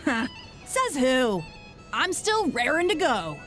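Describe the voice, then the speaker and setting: A woman playing a rowdy tavern patron who exclaims loudly and excitably. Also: high voice, slow pace, over music, heavily acted. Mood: excited.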